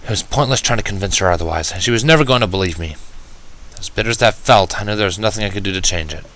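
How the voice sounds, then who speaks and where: A man's voice: lowish voice, fast talker, a bit bright. A man reading a fan-fiction story aloud as scripted first-person narration.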